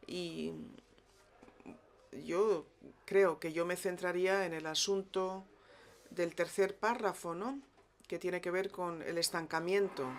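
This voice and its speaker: Lower-voiced woman interpreting live at a conference.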